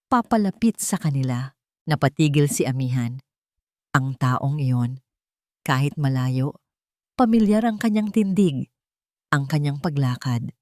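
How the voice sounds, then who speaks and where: A woman with a Filipino accent narrating a scripted romance story in measured, dramatic phrases. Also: low-pitched, darkish tone, dry recording, clean studio sound.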